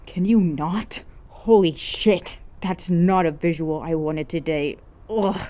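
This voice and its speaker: A woman's voice: fairly low pitch, takes it slowly, mellow. A woman voicing a grumpy, exasperated teenage boy in a story reading, curt and sarcastic. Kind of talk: acted dialogue in fan fiction reading.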